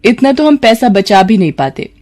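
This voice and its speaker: A woman voicing an affectionate, modest young wife in a moral story, gently questioning. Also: a little high, sing-song, moderate pace. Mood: touched, gently chiding. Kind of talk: acted dialogue in a Hindi moral story.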